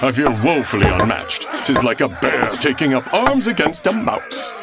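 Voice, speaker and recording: An actor playing a big, good-humoured, boastful outlaw sidekick in a comedic audio drama, blustering in archaic English. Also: male voice, medium pitch, sing-song, moderate pace, creaky, over loud music. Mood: teasing. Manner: blustering, theatrical archaic speech.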